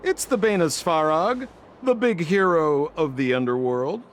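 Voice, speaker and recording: A man's voice: fairly high pitch, unhurried, slight background noise, natural voice. A man voicing a teasing, theatrical townsman in an anime game. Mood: playful.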